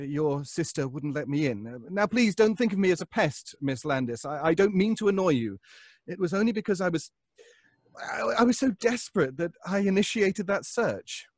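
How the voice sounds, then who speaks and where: An actor playing a nervous, obsessive neighbor in an old-time radio suspense drama, stammering and pleading. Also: male voice, fairly high pitch, no room sound, clean studio sound. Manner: stammering, hesitant, breaking off.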